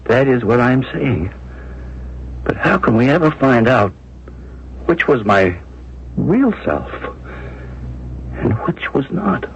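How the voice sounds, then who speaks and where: A man's voice: mid-range voice, big pitch swings, slow, gravelly, long pauses, heavily acted. An actor playing a distraught, tormented man giving testimony, with faltering, intense, theatrical 1970s radio drama delivery. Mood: bewildered. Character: distraught male witness, tormented and confessional. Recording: muffled 1970s radio broadcast.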